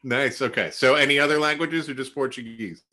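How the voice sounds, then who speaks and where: A man in his thirties from Canada hosts a casual podcast in a bright, forceful voice. He rambles, mocks himself and laughs often. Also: mid-range voice, brisk. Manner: rambling, self-deprecating, laughs a lot. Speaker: podcast host.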